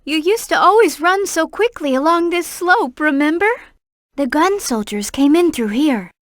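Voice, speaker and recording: A woman voicing a gentle, earnest young girl in a video game, caring and polite in the way she talks. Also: high-pitched, animated, moderate pace, dry recording, studio quality. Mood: earnest, concerned.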